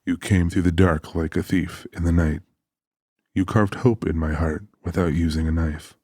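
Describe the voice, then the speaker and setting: A man's voice: low-pitched, steady tone, normal speed, dark tone, husky, no echo. An actor playing a dry, wry, plainspoken country man in an audiobook drama. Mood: tender. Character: Joe, dry, easygoing country man, anxious but decent.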